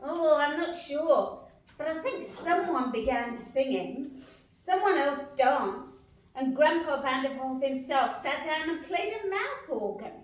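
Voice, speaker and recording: A woman playing a calm, dryly wry office secretary in a live radio play. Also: high voice, slow. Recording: very echoey hall, distant microphone.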